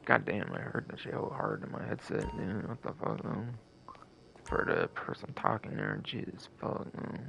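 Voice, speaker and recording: A man's voice: on the low side, wildly swooping pitch, normal speed, vocal fry, sharp tone, a little hiss or hum. A man giving casual live game stream commentary in a rambling, repetitive way full of filler words, with some casual swearing.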